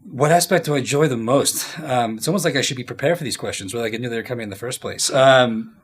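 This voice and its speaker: A man in his thirties giving casual, informal interview answers as a company executive, often halting as he thinks aloud. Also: medium pitch, a little hiss or hum. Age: 30s.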